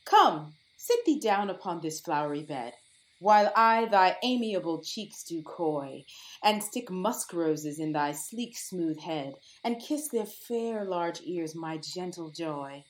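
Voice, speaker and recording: Woman playing a lovestruck fairy queen, slow and cooing, really swoopy melodic verse, audio play. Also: on the low side, animated, rough recording. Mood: doting. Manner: tender, lyrical, cooing. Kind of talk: Shakespearean verse audio drama.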